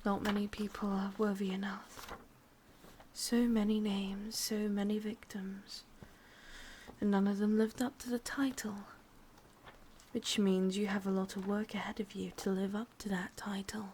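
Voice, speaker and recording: A slow, breathy, mostly whispered English witch, played by a woman, sadistic and vain, speaks with possessive, menacing intimacy. It is an ASMR roleplay with faint noise behind the voice. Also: mid-range voice, flat delivery, darkish tone, lightly acted. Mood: obsessed, menacing.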